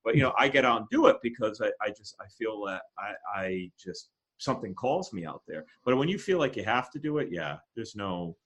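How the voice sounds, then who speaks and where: A man's voice: on the low side, expressive, brisk, a bit bright. A man hosting a podcast, chatting casually in a conversational, rambling way with many restarts. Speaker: podcast host.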